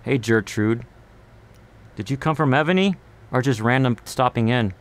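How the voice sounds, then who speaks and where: A man's voice: medium pitch, normal speed. The guy in his bedroom studio late in a small livestream, leaning by the mic and rambling casually to a few online friends. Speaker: live streamer and singer-songwriter. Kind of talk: casual live stream chat. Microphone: large condenser mic on boom arm, close.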